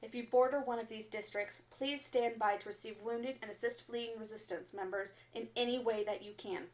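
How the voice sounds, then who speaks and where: A woman's fairly high voice delivers formal underground radio bulletins as an acted role. She is composed and stern, measuring each phrase like an official announcer in a sci-fi radio drama. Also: fairly even intonation, moderate pace, natural voice. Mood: grave, official.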